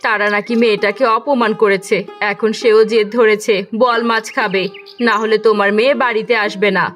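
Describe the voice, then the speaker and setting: A woman's voice: mid-range voice, fairly even intonation, brisk, a bit bright, soft background music, lightly acted. A woman voicing a weary, scolding mother from a poor village household in a cartoon, in an exasperated, nagging manner. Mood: complaining. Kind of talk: Bengali cartoon dialogue.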